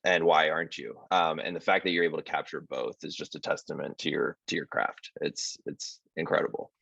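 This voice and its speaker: An American man moderating a casual panel discussion, earnest and halting, with many fillers. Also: extremely low pitch, steady tone, vocal fry, fairly bright tone, husky, no echo, highs cut off. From United States.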